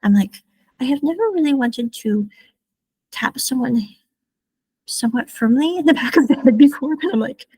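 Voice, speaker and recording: A woman's voice: sits high, lively intonation, normal speed, pauses often, mellow, slightly rough, no room sound, rough recording. A woman hosting a podcast, telling a travel story in a casual, rambling way, often correcting herself mid-sentence. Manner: rambling, self-correcting, chatty asides. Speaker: podcast host.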